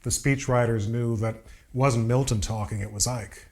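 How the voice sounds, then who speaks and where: A man's voice: mid-range voice, fairly even intonation, normal speed, a little mellow, slight background noise. A man explaining history in a conversational documentary interview style. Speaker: historical commentator.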